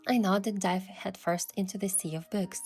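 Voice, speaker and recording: A woman voicing a curious young adventurer heroine, telling the story in the first person with earnest, expressive delivery. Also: medium pitch, normal speed, close to an everyday voice. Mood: narrating, gentle. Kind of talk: scripted first-person narration and dialogue. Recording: music behind the voice.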